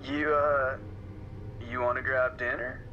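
An actor playing a crass, mocking young slacker coworker in an audio drama, casual and drawling, on a muffled noisy recording. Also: male voice, medium pitch, flat delivery, pushed and bright. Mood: casual, interested. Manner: casual, chuckling, drawn-out. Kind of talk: naturalistic audio drama acting.

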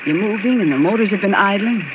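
A woman's voice: mid-range voice, quick pace, close to an everyday voice. An actress playing an anxious, polite young woman traveler, nervous and hesitant, in 1940s radio style. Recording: dull, noisy old radio recording.

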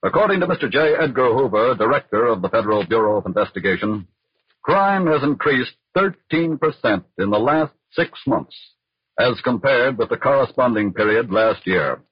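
Husky 1940s American radio narrator man. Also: medium pitch, lively intonation, moderate pace, dry recording. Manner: formal, grave, declamatory. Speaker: radio crime series narrator. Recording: dull, husky old radio recording, music.

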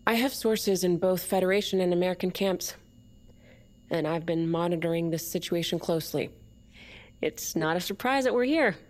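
An actress playing a defiant, cynical leader of an underground separatist movement in an audio drama. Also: female voice, on the low side, moderate pace, a little mellow, close to an everyday voice. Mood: assured, grave.

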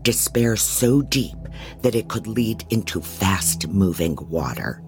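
A woman's voice: a bass voice, animated, slow, darkish tone, soft background music, full character voice. An actress playing a blunt, disorganized female private investigator, narrating an audio drama in a wry way. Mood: wry, grim.

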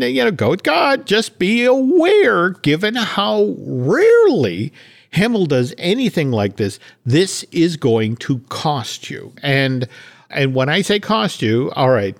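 Chatty man with swooping, animated intonation. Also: fairly high pitch. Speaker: podcast host. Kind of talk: casual entertainment news chat.

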